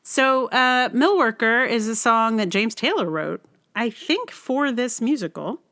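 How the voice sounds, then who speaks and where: A woman's voice: a little high, animated, a little slow, bright, forceful tone. A woman hosting a podcast, casually introducing in a hesitant, reflective way, with thoughts that trail off. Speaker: podcast host. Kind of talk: casual rambling introduction to a song.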